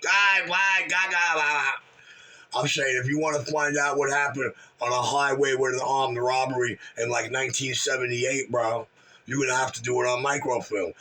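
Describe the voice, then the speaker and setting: A man's voice: medium pitch, bright, forceful tone. A man, bragging and trash talking profanely in casual chat. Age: 60s. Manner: brash, boastful, profane trash talk. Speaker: regular on a call-in chat room show. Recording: distant mic.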